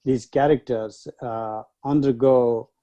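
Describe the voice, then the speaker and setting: Dark-voiced man from Nepal, unhurried. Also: medium pitch, fairly even intonation, dull audio. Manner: unhurried, many pauses and fillers. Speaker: guest scholar. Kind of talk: reflective interview talk.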